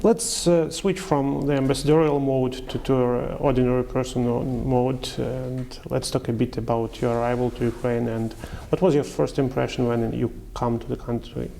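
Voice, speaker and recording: A man's voice: medium pitch, fairly even intonation, moderate pace, vocal fry, few pauses, dark tone, slight background noise. A man interviewing a guest in a conversational style, with short reactions and questions.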